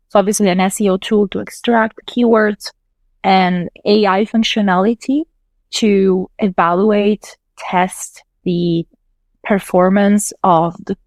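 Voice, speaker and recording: A woman's voice: medium pitch, a little mellow, no room sound. A woman whose first language is Italian, a podcast guest explaining her work step by step in a casual, thoughtful way. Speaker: podcast guest, localization manager. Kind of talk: casual interview talk.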